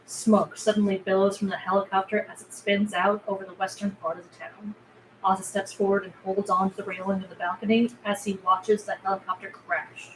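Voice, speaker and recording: A woman's voice: medium pitch, flat delivery, brisk, low-quality recording. A woman narrator reading screenplay stage directions aloud at a table read. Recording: faint background noise.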